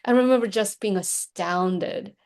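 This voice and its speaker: Animated woman hosting an interview. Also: medium pitch, a little slow. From Korea. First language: Korean. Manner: animated, laughs often. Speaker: podcast host, executive coach. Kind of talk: conversational interview.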